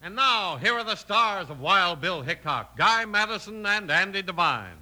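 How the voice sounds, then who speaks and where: A man announcing a 1950s radio program, with an upbeat, booming sign-off. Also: big pitch swings, moderate pace, very noisy.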